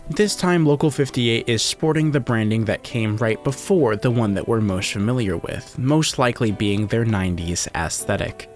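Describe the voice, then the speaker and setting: A man delivering scripted, analytical video essay narration in a conversational way, with casual asides. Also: medium pitch. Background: music.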